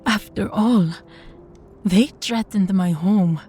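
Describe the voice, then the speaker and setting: A woman's voice: medium pitch, slow, warm tone, soft background music, natural voice. A woman playing a possessive, sadistic vampire master in an intimate audio drama, teasing and condescending, often sinking toward a whisper. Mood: smug, menacing.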